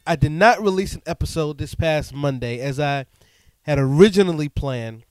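A man's voice: on the high side, moderate pace, clean tone, no echo. Stuffed-up man hosting a chatty podcast. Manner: relaxed and chatty, frequent "um" and "man". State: stuffed-up nose. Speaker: podcast host and DJ. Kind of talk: casual solo podcast talk. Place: studio.

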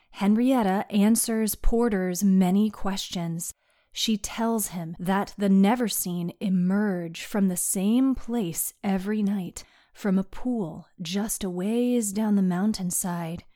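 A woman reads a spooky piece of serial fiction as its narrator. Her delivery is unhurried and measured, with a hushed edge. The tone is soft and relaxed, never sharp. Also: mid-range voice. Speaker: host and narrator of a fiction podcast. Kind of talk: scripted spooky story narration.

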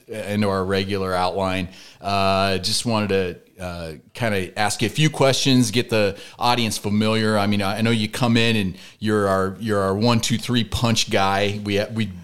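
A man's voice: fairly low pitch, steady tone, moderate pace, few pauses, clear, resonant tone. A man hosting a podcast, chatting casually in a jokey, teasing way with his guest in a studio. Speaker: podcast host. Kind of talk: casual banter and interview.

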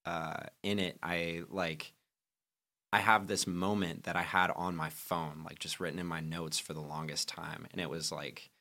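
Young man, very low voice, forceful bright tone, rambling casual interview talk. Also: level tone, normal speed. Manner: rambling, many "like" and "um" fillers. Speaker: guest musician, guitarist and songwriter.

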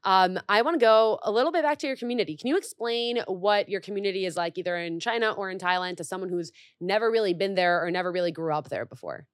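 A woman's voice: mid-range voice, fast, few pauses, no room sound, clean studio sound. A young woman hosting an interview podcast, chatting casually with lots of fillers. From grew up in Mexico and the U.S. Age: young adult. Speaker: podcast host.

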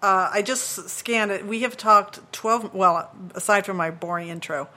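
A woman hosting a casual podcast interview, chatty and quick to laugh. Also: mid-range voice, steady tone, pushed and bright. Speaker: podcast host.

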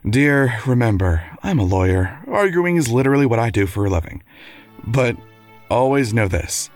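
An actor playing a devoted, argumentative lawyer husband in an audio drama, with wry courtroom-style banter. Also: male voice, medium pitch, expressive, normal speed, soft background music, lightly acted. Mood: earnest, tender.

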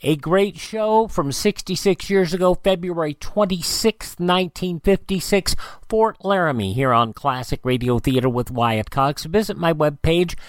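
A man's voice: a little high, animated, moderate pace, soft background music. A man hosting a radio program, presenting directly to listeners. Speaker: radio show host.

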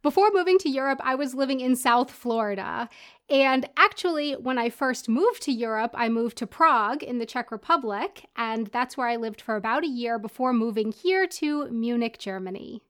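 A woman's voice: a little high, expressive, normal speed, fairly bright tone, clean tone. An American woman who is a podcast guest, chatting casually and in a bubbly way, laughing often. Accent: American English. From United States. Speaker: interview guest. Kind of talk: casual conversation.

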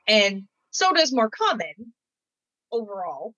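A woman's voice: medium pitch, big pitch swings, unhurried, fairly bright tone, dry recording, highs cut off. Chatty woman novelist from Michigan. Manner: chatty, many "like" fillers. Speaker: novelist guest. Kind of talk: casual interview chat.

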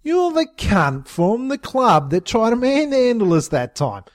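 A man puts on a thuggish Australian bogan, loud and swearing. His voice is raised and dry and sits close to the mic. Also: extremely high pitch, big pitch swings, measured pace, no room sound, pitched above the performer's own voice, exaggerated voice. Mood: aggressive. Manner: aggressive, swearing.

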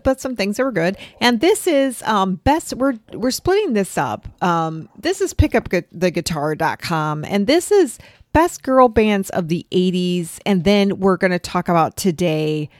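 A woman's voice: on the low side, normal speed, dry recording, clean studio sound. A middle-aged woman, co-hosting a casual music podcast and telling stories in a chatty way. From northern Illinois, US. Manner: chatty storyteller, animated retelling. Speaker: podcast co-host. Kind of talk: casual chat.

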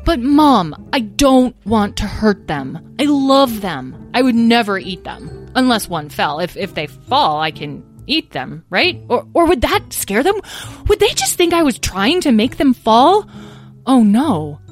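A woman's voice: on the high side, very animated, a little slow, soft background music, voice pushed up. A woman voicing a young dragon child in a children's story, sheepish and defensive, with hesitant, earnest protests. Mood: earnest, anguished.

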